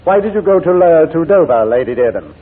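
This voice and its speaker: An actor playing a relentless, theatrical defense barrister who questions formally and insistently in a 1940s radio courtroom drama. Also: male voice, fairly high pitch, very animated, brisk, husky. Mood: pressing, insistent. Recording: muffled, narrow-band 1940s radio broadcast.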